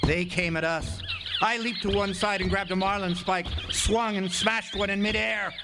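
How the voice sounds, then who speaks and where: A man's voice: sits high, steady tone, moderate pace. A man playing a haunted lighthouse keeper who tells his story in a radio drama, dramatic and stammering over words. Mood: haunted, urgent. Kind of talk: radio drama first-person narration. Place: outdoor amphitheater stage. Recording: noisy outdoor live stage recording, birdsong.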